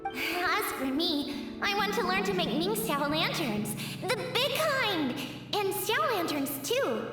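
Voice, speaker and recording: A confident, sweet little girl for a video game. The voice is very high and animated, washed in strong echo. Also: takes it slowly, over music, heavily acted. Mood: dreamy. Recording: echoey hall, distant, reverberant.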